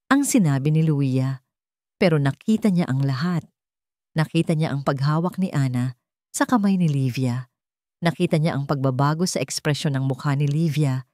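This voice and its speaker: A woman's voice: low voice, mellow, no echo, studio quality. A woman giving a scripted, dramatic narration of a sentimental love story.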